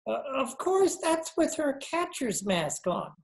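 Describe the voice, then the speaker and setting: A man's voice: extremely high pitch, very animated, slow, full character voice. A man voicing a gleeful, silly male sidekick with a giggly, gushing manner in old-time radio comedy. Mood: chirpy, eager. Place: indoor room at home. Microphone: computer mic on video call.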